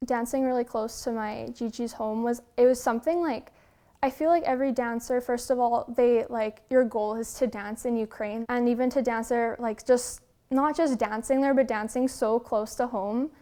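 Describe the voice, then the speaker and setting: A woman's voice: a little high, steady tone, moderate pace, mellow. A young woman, a dancer, talking in an interview in a gushing way, full of "like" fillers and restarts. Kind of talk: interview answer.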